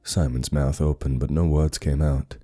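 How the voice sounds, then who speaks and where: Male narrator for scripted horror: extremely low pitch, dark mellow tone, dramatic delivery. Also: steady tone, normal speed, faint music behind.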